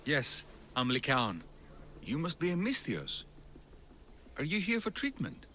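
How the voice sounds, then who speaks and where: An actor playing a kind, earnest village physician weighed down by worry, in a video game cutscene. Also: male voice, on the high side, huge pitch swings, unhurried, pushed and bright, a little hiss or hum, exaggerated voice. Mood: gentle.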